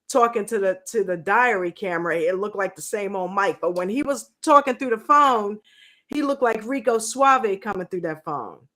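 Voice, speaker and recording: A woman casually reviewing a reality show on a livestream, slangy and animated, acting out quotes in a mocking tone. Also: a little high. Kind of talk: casual reaction livestream chat.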